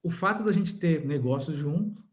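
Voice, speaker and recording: A man from Brazil, a marketing professional, co-hosting a casual podcast and giving relaxed, brief replies. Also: on the high side, normal speed.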